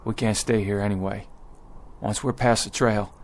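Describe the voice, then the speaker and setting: An actor playing an earnest, desperate pioneer husband who leads a wagon party and speaks haltingly in a radio drama. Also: male voice, fairly low pitch, steady tone, lightly acted. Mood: urgent, reassuring. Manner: halting, stammering, repeating words.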